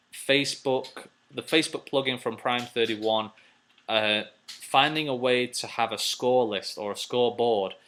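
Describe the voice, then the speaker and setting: A man's voice: mid-range voice, sharp tone, a little hiss or hum. A man hosting a casual, unscripted advice video, answering viewers as he thinks aloud, rambling and repeating phrases.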